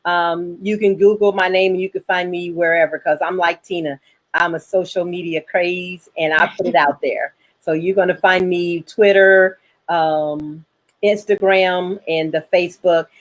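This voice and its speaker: A woman hosting a casual online panel chat, speaking frankly and jokingly as a filmmaker. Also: lowish voice. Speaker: host, filmmaker.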